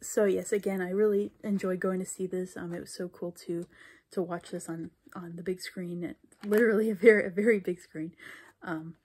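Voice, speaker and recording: A woman's voice: lowish voice, fairly even intonation, moderate pace, darkish tone, slightly rough. A young woman in her twenties chatting in a casual vlog recap, full of fillers and restarts, recorded on a handheld phone in a small bedroom. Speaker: vlogger. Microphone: phone camera held close.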